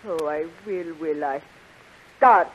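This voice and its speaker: An actress playing a haughty, crafty young alien princess in 1930s radio serial style. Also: female voice, low-pitched, slow pace. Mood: scheming, imperious. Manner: imperious, crafty. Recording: hissy, muffled 1930s radio recording.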